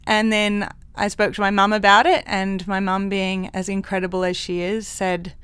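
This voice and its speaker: A woman's voice: medium pitch, level tone, moderate pace, fairly bright tone, smooth. A woman psychologist with a mixed international accent, talking frankly and laughing often in an interview. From Hong Kong. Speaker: clinical psychologist guest. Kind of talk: interview conversation.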